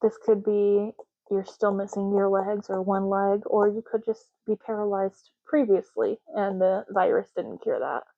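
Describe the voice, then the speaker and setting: A soft-spoken woman doing an ASMR roleplay as a clerk, explaining gently and unhurriedly in short, broken phrases. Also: medium pitch, steady tone, a little slow, gravelly, no echo, narrow, tinny audio. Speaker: ASMR creator playing an ID card clerk.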